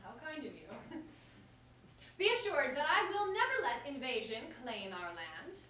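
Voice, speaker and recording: A woman's voice: sits high, sing-song, measured pace, pushed and bright, full character voice. A woman playing a gracious, witty commoner elected king in a staged reading of a verse comedy. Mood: wry, assured. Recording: echoey room from far off, noisy.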